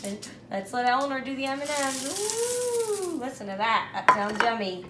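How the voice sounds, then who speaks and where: A woman's voice: high-pitched, big pitch swings, moderate pace. A woman in her thirties, a mother casually guiding young children through steps with encouraging check-in questions. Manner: encouraging, step-by-step directing with frequent check-in questions. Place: home kitchen or dining room, indoors. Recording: echoey room with clear background noise.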